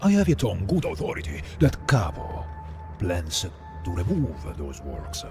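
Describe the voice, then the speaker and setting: An actor playing a suave, theatrical mafia kingpin with an Italian accent and a silky, insinuating manner in a game cutscene. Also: male voice, deep voice, huge pitch swings, measured pace, music in the background, heavily acted. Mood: smooth, expansive. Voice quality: gravelly, creaky. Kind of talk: dramatic video game cutscene acting.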